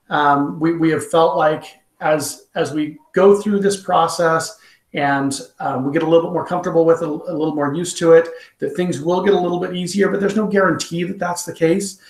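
A middle-aged man in his forties speaking as a school principal at an online town hall, talking conversationally with frequent ums and restarts over a laptop webcam at home. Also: a little high. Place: home room with wall clock. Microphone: laptop webcam on video call. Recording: echoey room on video call.

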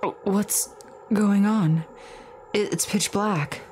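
A woman voicing a frightened teenage schoolboy trapped in a horror game, speaking in halting, panicky phrases. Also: mid-range voice, steady tone, background noise, voice pushed down, close to an everyday voice. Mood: confused, uneasy.

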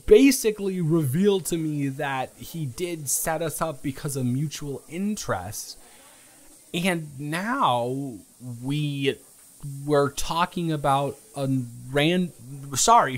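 A young man playing an earnest, flustered romantic lead in an improvised audio roleplay, speaking in a halting, emphatic, stammering way. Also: medium pitch, a bit bright.

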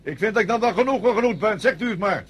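High-voiced, swooping senior officer. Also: male voice, animated, fast, slight background noise. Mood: impatient. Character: self-important male senior officer. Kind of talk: radio drama acting.

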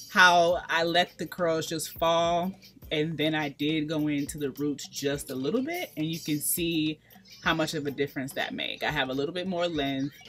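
A woman's voice: deep voice, a bit bright. A woman in her thirties walking viewers through a hair tutorial in a casual, emphatic way, pleading with them to be patient. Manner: emphatic, coaching viewers with repeated pleas. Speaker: natural hair vlogger. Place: small bright room indoors.

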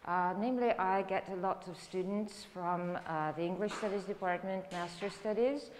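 A middle-aged woman from Serbia with a Serbian accent, giving an academic lecture in a halting, explanatory way. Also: mid-range voice. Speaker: university lecturer. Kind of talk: academic lecture, spoken freely. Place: indoor lecture room, standing by a lectern. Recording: echoey lecture room with background noise.

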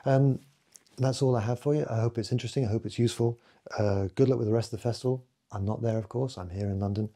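A man of 57 giving a recorded talk to camera at home, reflective and hesitant, with many fillers, often trailing off softly. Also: mid-range voice, fairly even intonation, fast. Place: home room with bookshelves, indoors.

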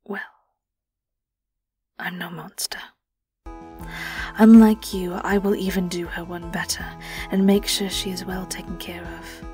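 A woman playing a sly, vengeful vampire in an audio roleplay, with a British accent, a breathy, often whispered voice and a taunting, theatrical delivery. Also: lowish voice, little pitch movement, unhurried, pauses often, a little mellow, faint music behind, natural voice. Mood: gloating, menacing. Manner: taunting, theatrical, lingering on words. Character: vengeful young female vampire, sly, gloating and predatory. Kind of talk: dramatic audio roleplay monologue.

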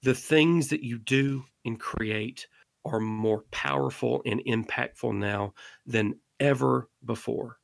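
A man's voice: mid-range voice, takes it slowly, vocal fry, no room sound. A middle-aged man speaking as a podcast guest, earnestly urging listeners to keep creating. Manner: impassioned, exhorting listeners. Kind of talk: earnest interview monologue.

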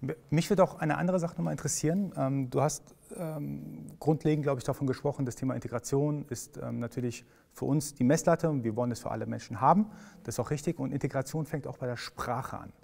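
A man's voice: medium pitch, fast, vocal fry, darkish tone, in a large hall. A man hosting a podcast, asking friendly questions with hesitant fillers. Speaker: podcast host. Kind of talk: conversational podcast interview.

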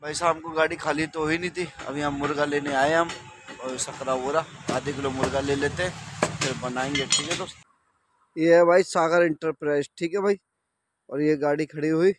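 A man's voice: a little high, steady tone, normal speed. A man in his thirties, a truck driver, chatting casually to viewers through a phone camera held at arm's length while on the road. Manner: chatty, keeps saying "brother" and "friends" to viewers. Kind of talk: casual travel vlog narration. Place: outdoors by trucks on roads and highway stops. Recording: noisy outdoor phone recording.

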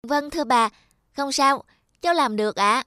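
A woman's voice: high-pitched, moderate pace. A woman voicing a polite, earnest, hardworking poor servant boy in a children's cartoon. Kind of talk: dubbed children's cartoon dialogue. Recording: loud music behind the voice.

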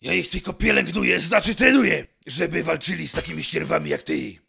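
A man playing a grumpy, self-important masked vigilante in a comedy fan dub, gruff and indignant. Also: a little high, a little fast, heavily acted. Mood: defensive. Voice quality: gravelly, forceful.

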